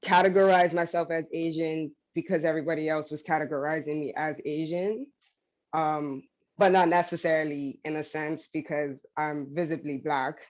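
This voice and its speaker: A woman's voice: deep voice, steady tone, moderate pace, a little mellow, highs cut off. A woman speaking as a panelist who rambles and laughs often between thoughts. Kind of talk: panel discussion.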